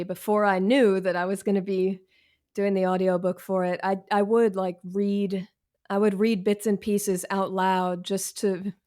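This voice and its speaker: A woman's voice: mid-range voice, a little mellow, clean tone. A woman chatting casually as an interview guest, candid and wryly self-deprecating. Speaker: guest author and essayist.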